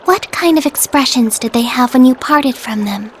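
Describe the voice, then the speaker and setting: An actress voicing a caring, polite teenage younger sister in a video game, with an earnest, proper way of speaking. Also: female voice, sits high, normal speed, slight background noise, natural voice. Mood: gentle, insistent. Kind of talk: video game cutscene voice acting. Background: trickling water ambience.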